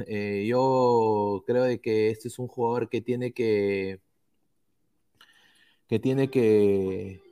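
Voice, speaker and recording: A man's voice: lowish voice, steady tone, very slow, long pauses, dark tone, clean tone. Peruvian guy hosting a football podcast, rambly, lots of ehs and restarts. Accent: Peruvian Spanish. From Peru. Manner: chatty, many fillers and restarts, reads sponsor plugs. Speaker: podcast host. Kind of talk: casual football talk.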